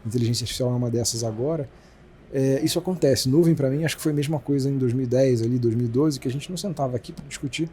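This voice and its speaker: Brief: Brazilian male exec guest, rapid-fire delivery, warm mellow tone, faint hiss behind. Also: mid-range voice. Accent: Brazilian Portuguese. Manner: fast, animated explaining with many restarts. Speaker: company president, guest. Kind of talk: casual business interview.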